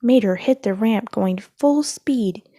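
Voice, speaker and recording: A woman's voice: a little high, slow pace, mellow, husky, no echo, studio quality. A woman reading a children's storybook aloud as a scripted narration.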